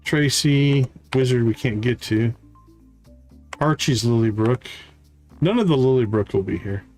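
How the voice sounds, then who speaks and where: Over soft game music, a man talks through his livestream at a slow pace. His voice sits at a middle pitch, and he thinks aloud in short, casual phrases as he plays. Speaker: live streamer, game host. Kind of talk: casual gameplay commentary, thinking aloud and reading game dialogue. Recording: music playing behind the voice.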